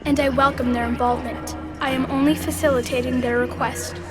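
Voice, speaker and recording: A woman playing an ancient, calm and superior machine intelligence in a video game, speaking in a measured, formal way. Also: high voice, close to an everyday voice. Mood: cold, certain. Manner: measured, formal, detached, didactic. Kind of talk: video game cutscene acting. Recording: loud music behind the dialogue. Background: loud game score.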